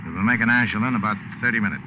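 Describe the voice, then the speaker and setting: An actor playing a cynical boat captain in a 1940s radio drama, curt and sardonic, with an American accent. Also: male voice, on the low side, rapid-fire, natural voice. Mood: curt, wary. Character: hard-boiled, cynical male boat captain. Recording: muffled, hissy old radio recording.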